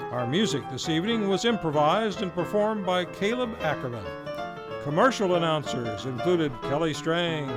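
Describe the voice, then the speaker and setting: A man, the series host, reading the closing credits and announcements of a radio play in a polished, formal announcer manner. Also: a little high. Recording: music playing underneath. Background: music.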